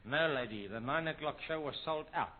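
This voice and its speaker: A man's voice: a little high, fairly quick, lightly acted. A man playing a dim police constable. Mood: deadpan. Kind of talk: 1970s radio comedy sketch. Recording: tinny lo-fi radio broadcast with noise.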